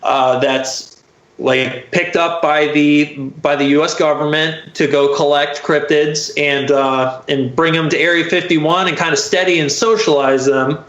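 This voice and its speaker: An American man chatting casually as a podcast guest, speaking in halting phrases with drawn-out hesitations. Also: a little high, steady tone, a bit bright, echoey room, dull audio. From Florida, United States. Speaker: podcast guest, audio drama writer. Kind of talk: casual interview chat.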